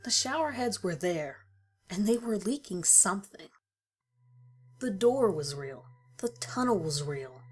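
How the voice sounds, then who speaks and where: A woman's voice: mid-range voice, breathy edge. A woman reading a first-person horror story as a dramatic scripted narration. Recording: music behind the voice. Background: music.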